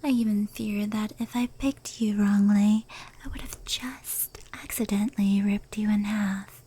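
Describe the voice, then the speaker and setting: A woman voicing a proud, powerful fox yokai in a hushed, intimate ASMR roleplay, soft and breathy. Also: medium pitch, steady tone, unhurried. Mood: serious, confessional. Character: proud, powerful female fox yokai, fond confidante of a human.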